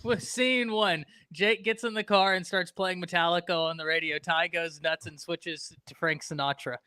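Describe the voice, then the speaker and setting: A man from Texas hosting a casual live-stream sports talk show, animated and talking to chat viewers by name. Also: on the high side, normal speed, smooth, no echo.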